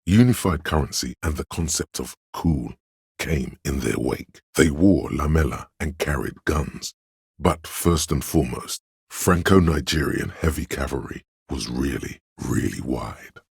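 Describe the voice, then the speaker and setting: A man playing a pedantic, erudite inner voice of encyclopedic knowledge in a video game, narrating facts in a dry, matter-of-fact deadpan. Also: very deep voice, unhurried, vocal fry, husky, dry recording, full character voice. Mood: dry, informative.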